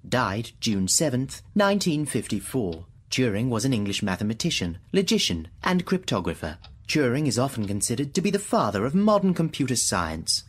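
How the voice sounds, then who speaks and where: A man's voice: medium pitch, breathy edge. A man playing a formal, unemotional talking computer in a radio drama, speaking in precise, literal statements. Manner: precise, clipped, literal delivery of data.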